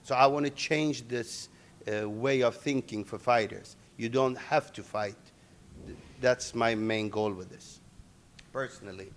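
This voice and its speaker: A middle-aged man, born in Iran and raised in Sweden, answering interview questions in halting English full of restarts. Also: medium pitch. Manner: halting non-native English with many restarts. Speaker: interviewee, MMA organization owner.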